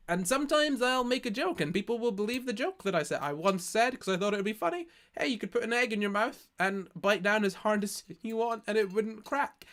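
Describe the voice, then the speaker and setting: High-voiced Scottish man rambling. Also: fairly bright tone. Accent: Scottish, softened by living in England. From Scotland. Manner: rambling, self-correcting, lots of restarts. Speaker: streamer. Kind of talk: casual chat, reading live chat.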